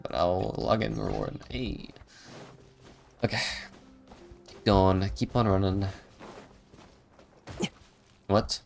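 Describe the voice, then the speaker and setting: A man's voice: fairly low pitch, fairly even intonation, slow pace, creaky, long pauses, a little mellow, soft background music. A man doing casual live gameplay commentary, muttering his reactions to himself as he plays. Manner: muttering reactions to himself, humming and singing snatches. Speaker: game streamer.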